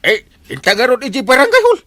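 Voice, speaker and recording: A man's voice: very high voice, huge pitch swings, fast, heavily acted. A man with an Ilocano accent acting a blustering, scolding village captain in a comedy radio drama. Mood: accusing. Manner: exaggerated, scolding, theatrical delivery. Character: blustering comic village captain accusing a thief.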